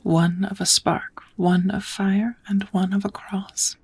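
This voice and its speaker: A woman voicing a kind, patient witch in a soft, often whispered ASMR roleplay, teaching magic in a gentle, measured, slightly formal way. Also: medium pitch, flat delivery, husky. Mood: calm, patient.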